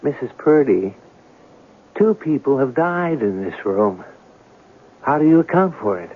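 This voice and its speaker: An actor playing a probing, eloquent newspaper reporter with theatrical, deliberate phrasing in an old-time radio drama. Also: male voice, mid-range voice, expressive, close to an everyday voice. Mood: accusing, intense. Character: probing, eloquent newspaper reporter in turn-of-century New York. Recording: narrowband old radio broadcast, music behind.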